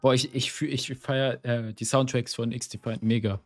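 A young man giving casual, rambling commentary while playing a shooter, with a slightly hoarse voice from a cold, swearing often and filling gaps with ums. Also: mid-range voice, steady tone, normal speed. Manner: chatty, swears often, rambling with many fillers. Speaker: gaming YouTuber. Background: game music and gunfire.

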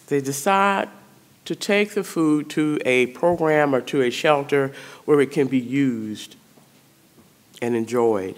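A woman's voice: rumbling low, slow pace. A middle-aged woman priest, preaching a sermon in a church sanctuary, speaking conversationally and putting questions to the congregation. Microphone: stand mic in front of pulpit.

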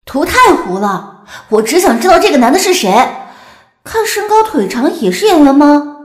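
An excitable young woman gossiping online, very high and swooping in pitch. She is emphatic and opinionated, in a roomy audio drama sound with music. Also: moderate pace. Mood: teasing curiosity. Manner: emphatic, exclamatory.

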